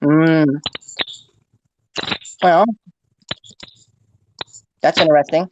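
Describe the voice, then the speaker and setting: A woman's voice: low voice, steady tone, extremely slow. A woman who is a guest on a livestream, speaking in an outspoken, blunt way. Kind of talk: casual livestream chat. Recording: muffled, noisy call audio.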